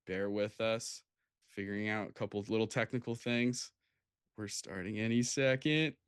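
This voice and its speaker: A man's voice: fairly low pitch, steady tone, normal speed, smooth, dry recording. A man hosts a live stream table read in a casual, conversational style. The sound is dry, with very little room to it.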